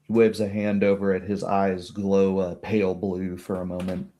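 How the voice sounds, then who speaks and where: A man's voice: low-pitched, level tone, moderate pace, warm tone. A man narrating a tabletop roleplay game as game master, in vivid descriptive phrases.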